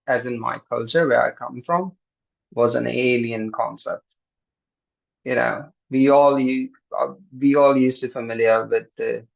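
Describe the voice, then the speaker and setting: An earnest man from Pakistan shares a halting personal testimony, often restarting his sentences. His voice is gravelly and mid-pitched, and the audio sounds dull and lo-fi. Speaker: seminar participant. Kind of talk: personal testimony on a video call.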